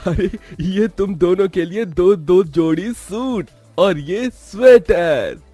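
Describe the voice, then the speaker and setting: A poor but protective young brother , acted by a man, speaks with earnest feeling in a cartoon tale. His voice is very high for a man and mellow, recorded close and dry. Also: lively intonation, slow pace, no echo, faint music behind, heavily acted. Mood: grateful, welcoming. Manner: earnest, emotional.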